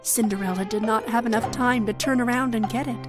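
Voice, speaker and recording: A woman's voice: fairly high pitch, steady tone, brisk, over loud music. A woman with an American accent narrating a scripted fairy tale for children. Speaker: storyteller.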